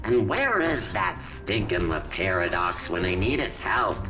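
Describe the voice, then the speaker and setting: Slow, swooping villain knight. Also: male voice, low-pitched, sing-song, music in the background, rough recording. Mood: irritated, scornful. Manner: theatrical, menacing, drawn-out. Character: scheming armored villain knight, male, vengeful, gloating. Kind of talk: cartoon video game villain acting.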